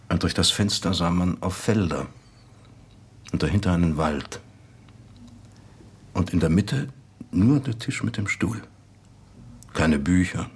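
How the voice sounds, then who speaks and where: A thoughtful man remembering stuff, super low raspy voice, radio play monologue, understated. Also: exaggerated voice. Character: reflective man quietly recalling an acquaintance. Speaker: narrator. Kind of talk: reflective first-person monologue in a radio drama.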